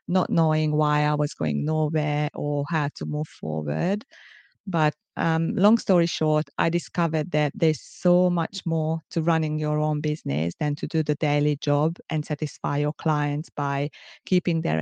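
A middle-aged woman with a Hungarian accent, hosting a solo podcast in a measured, earnest, explanatory way. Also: deep voice, gravelly, warm tone, no echo, muffled recording. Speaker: podcast host. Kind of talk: solo podcast monologue, semi-scripted.

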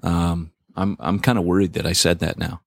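A man co-hosting a podcast in casual banter, joking and self-deprecating, with a deep voice and lots of filler words. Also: fairly even intonation, moderate pace, creaky, no echo, studio quality. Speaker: podcast co-host.

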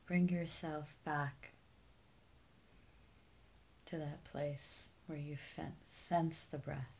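Female meditation guide: deep register, very unhurried pacing, calm and soft-edged delivery. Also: a little hiss or hum, low-quality recording. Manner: calm, soothing, unhurried with soft phrase endings. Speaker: meditation teacher.

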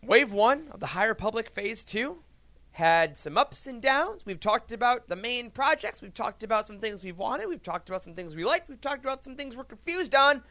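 A male podcast host delivers a scripted show introduction. His voice is high for a man and has a bright, forceful edge, recorded very dry with no room sound. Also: animated, moderate pace, no echo.

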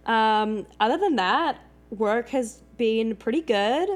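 A woman co-hosting a podcast, chatting in a relaxed, rambling way while she is still recovering from a cold. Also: fairly high pitch, takes it slowly. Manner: relaxed, rambling, swears casually. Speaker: podcast co-host. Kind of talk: casual chat.

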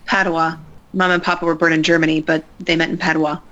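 An actress playing a reserved woman scientist who gives calm, terse instructions over a radio link. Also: deep voice, fairly quick, lo-fi sound. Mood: guarded. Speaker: scientist guiding a spacewalk. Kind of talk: audio drama acting.

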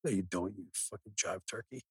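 Cocky, husky-voiced young guy teasing. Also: low voice, a little fast, a little mellow. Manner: cocky, teasing, swears freely. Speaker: podcast co-host. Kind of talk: casual joking banter.

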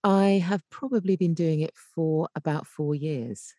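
A woman's voice: sits low, a little slow, mellow, dry recording. British woman explaining, in a dry booth. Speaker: podcast guest, voice actor and coach. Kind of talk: conversational interview answers. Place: home voice booth.

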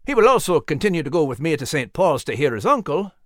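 A man voicing a genial, even-handed husband and father in a storybook, with a diplomatic manner. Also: a little high, quick pace, dry recording, studio quality. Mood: conciliatory.

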